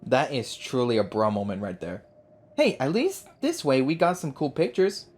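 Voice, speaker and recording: A woman giving casual, excitable, slangy commentary as she hosts a game playthrough. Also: extremely low pitch, normal speed, slightly rough. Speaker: gaming video host. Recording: game music behind.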